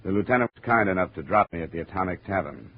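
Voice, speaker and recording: A man's voice: sits low, expressive, quick pace. A man playing a cool, wry hard-boiled insurance investigator in 1950s radio drama style, speaking and narrating in a dry, terse way. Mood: matter-of-fact narration. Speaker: lead actor and narrator. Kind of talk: 1950s radio detective acting, first-person narration. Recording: noisy, tinny old radio recording.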